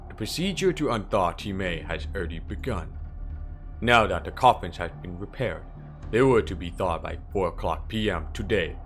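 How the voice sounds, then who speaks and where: A man acts out a sinister priest with a low-pitched voice, formal and unhurried in manner. He is politely menacing and smoothly condescending, in the style of a dramatic game dub, with music playing underneath. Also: fairly bright tone. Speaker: amateur voice impressionist. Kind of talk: dramatic fan dub character acting.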